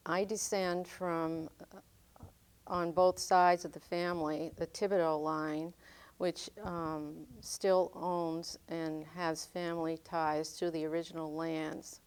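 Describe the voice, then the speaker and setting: An older woman from northern Maine, raised speaking French, talks slowly and thoughtfully. Her voice sits a little low and sounds smooth and clear. She pauses often as she gathers her memories. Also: little pitch movement, a little mellow. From northern Maine, United States. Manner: unhurried, reflective, frequent ums. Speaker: interviewee. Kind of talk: oral history interview.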